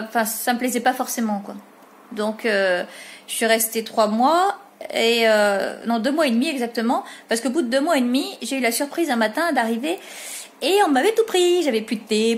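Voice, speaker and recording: Hesitant, rambling Frenchwoman in her late forties. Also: a little high. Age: late 40s. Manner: rambling, hesitant, many pauses on "euh". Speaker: vlogger. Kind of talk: casual home vlog chat. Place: at home, dining room. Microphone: phone.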